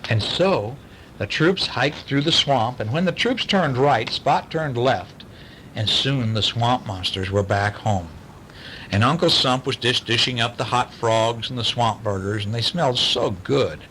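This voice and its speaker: A man's voice: medium pitch, unhurried, pushed and bright, a little hiss or hum, low-quality recording. A man reading a bedtime story aloud to children, with chatty asides as the storyteller.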